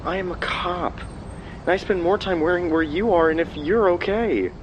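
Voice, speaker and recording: A man acting as a hurt, pleading cop ex-boyfriend in an audio drama, smooth and earnest over an earpiece call. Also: sits high, normal speed. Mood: pleading, frustrated. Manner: earnest, wounded. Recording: noisy earpiece call with street ambience.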